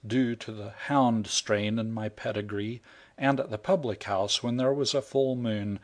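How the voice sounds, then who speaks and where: Guy reading a funny story aloud, takes his time, wry first-person telling. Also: on the low side. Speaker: audiobook narrator. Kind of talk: scripted narration.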